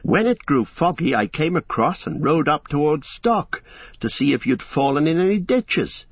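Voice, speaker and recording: A lively young hobbit, as a man puts him on, pitched well above the narrator's voice. He talks in a breezy, teasing way, bouncing through his lines, on a dull, dry recording. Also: moderate pace, husky, no echo, full character voice.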